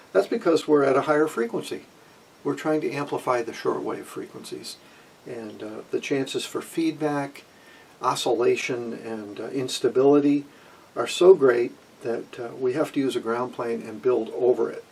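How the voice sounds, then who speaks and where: Husky, gravelly man in his sixties. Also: mid-range voice, moderate pace, a little mellow, distant mic, rough recording. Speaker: presenter of a vintage radio electronics channel. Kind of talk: informal technical explanation. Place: small wood-paneled room at home.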